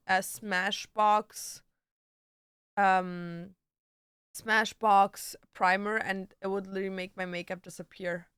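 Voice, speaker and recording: A woman's voice: mid-range voice, a bit bright, clear, resonant tone, dry recording, clean studio sound. A woman livestreamer chatting casually and answering viewers, with a slangy, blunt and playfully sweary way of talking. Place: indoor home streaming setup.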